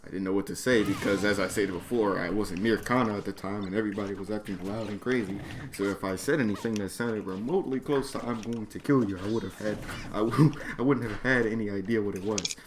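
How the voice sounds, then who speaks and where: A man's voice: lowish voice, steady tone, quick pace, hardly any pauses. A man reading his own comedic story aloud in a slangy, profane, exasperated way. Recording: noisy background, mouse or mic clicks.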